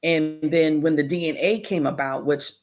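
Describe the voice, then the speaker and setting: A man presenting informal religious and historical commentary, explaining in a rambling, halting way. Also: a little high, steady tone.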